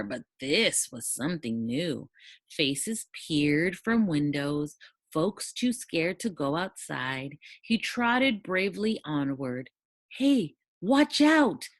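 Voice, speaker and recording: A woman giving an expressive read-aloud narration of a children's storybook. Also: low voice, no room sound. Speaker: storybook reader.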